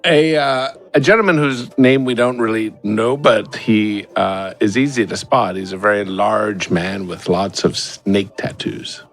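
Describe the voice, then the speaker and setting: A man's voice: lowish voice, a little slow, hardly any pauses, fairly bright tone. A man playing a tabletop role-playing game, speaking in character in a polite, conversational way. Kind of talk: casual in-character role-play. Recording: music behind the voice.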